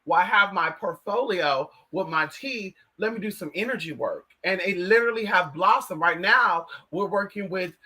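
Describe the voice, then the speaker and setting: An American man with a high, strikingly bright and forceful voice hosts a casual livestream. He talks straight to viewers in a sassy, slangy manner, leaning hard on words and calling listeners by pet names. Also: normal speed, few pauses, husky. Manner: sassy, slangy, emphatic, addresses listeners as honey and girl. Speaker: livestream host.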